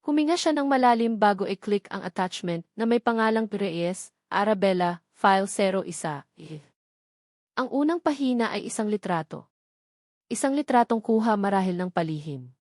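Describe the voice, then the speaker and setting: A woman's voice: mid-range voice, expressive, normal speed, darkish tone, no echo. Filipino woman narrating a story, dry sound. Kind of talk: dramatic scripted story narration in Tagalog.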